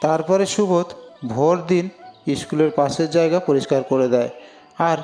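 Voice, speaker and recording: A man's voice: fairly high pitch, moderate pace, darkish tone, faint music behind. A man narrating a scripted cartoon story as a storyteller.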